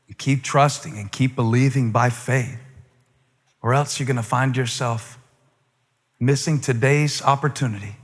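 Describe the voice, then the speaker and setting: A male pastor delivering a sermon and prayer with rhythmic repetition that builds to emphatic peaks. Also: medium pitch. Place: large church auditorium. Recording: long reverb of a large hall, soft music underneath. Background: faint music.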